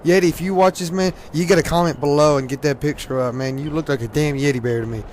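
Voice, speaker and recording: A hoarse teenage man from Louisiana, rambling casually with lots of fillers and laughs while commenting on a game at a desk mic. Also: on the high side, quick pace, slight background noise. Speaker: gaming commentator.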